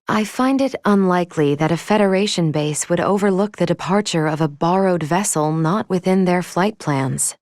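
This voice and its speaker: Woman doing a polite analytical android lady from a video game, lowish voice, formal and exact, dry clean sound. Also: darkish tone, dry recording, lightly acted. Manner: formal, precise, measured, matter-of-fact. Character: calm, logical female android crew member, polite and analytical. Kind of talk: video game character voice acting.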